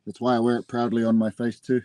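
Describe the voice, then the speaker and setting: A man's voice: medium pitch, flat delivery, quick pace, darkish tone. A young New Zealand man talks in an easy, laid-back way, sprinkling "bro" through his answers. His voice sits in a middle register. The sound is close and dry, with no room echo. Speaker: guest, pro wrestler. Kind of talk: casual interview chat.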